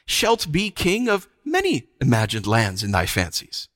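A man voicing a sweet, soft-spoken, mocking witch queen for an audiobook, with courtly, archaic phrasing and a soothing manner. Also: fairly high pitch, sing-song, moderate pace, no echo, clean studio sound, pitched above the performer's own voice. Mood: soothing, amused. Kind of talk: audiobook character voice.

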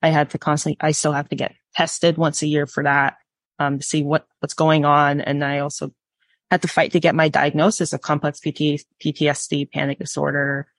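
A woman in her twenties sharing personal experience candidly as an interview guest, pausing to choose her words. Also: low voice, level tone, dry recording. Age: 20s.

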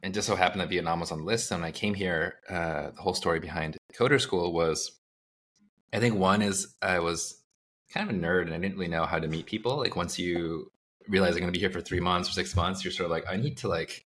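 A man's voice: low-pitched, fairly quick, fairly bright tone. A man in his thirties from the United States, a startup founder telling his story in a casual interview, rambling with fillers and self-corrections.

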